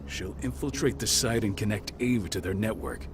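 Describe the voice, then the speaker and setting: An actor playing a calm, pragmatic leader who lays out covert plans in a measured, authoritative way in a game. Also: male voice, lowish voice, noisy background, lightly acted. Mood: businesslike.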